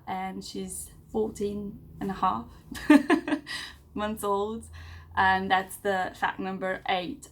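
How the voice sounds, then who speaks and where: Woman in her late twenties from Serbia, relaxed rambling vlogger, mid-pitched, fillers and restarts. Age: 20s. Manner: chatty, rambling, frequent fillers and self-corrections. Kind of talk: casual personal vlog.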